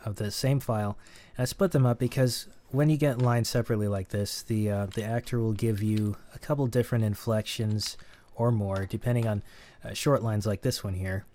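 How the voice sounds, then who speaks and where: A man hosting a casual audio editing tutorial, chatting in a relaxed way and laughing at himself. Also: lowish voice, brisk, hardly any pauses. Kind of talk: casual screen-recorded tutorial.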